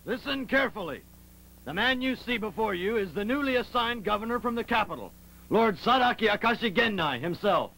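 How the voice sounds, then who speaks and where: A man voicing a pompous, commanding, bullying henchman of a samurai governor in an animated film. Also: sits high, fairly quick, gravelly, slight background noise, heavily acted, rough recording. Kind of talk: animated film dub acting.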